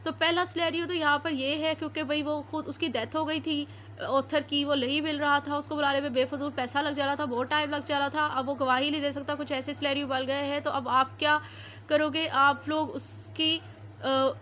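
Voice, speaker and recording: A woman's voice: high voice, level tone, moderate pace, sharp tone, slight background noise. A woman with a Pakistani accent giving an explanatory law lecture, switching into read-out English and rephrasing points didactically.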